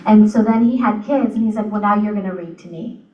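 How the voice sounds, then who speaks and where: A woman's voice: medium pitch, a little fast. Woman in an echoey room, chatty. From Virginia, USA. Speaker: panel moderator. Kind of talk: panel discussion. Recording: dull echoey room mic from a distance.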